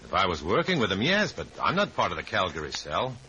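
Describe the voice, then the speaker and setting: An actor playing a wary, wry undercover courier in a dry, hard-boiled 1950s radio drama style. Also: male voice, low-pitched, huge pitch swings, quick pace, pushed and bright, slight background noise, heavily acted, rough recording. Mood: uneasy, incredulous. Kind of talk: 1950s American radio drama acting with narration.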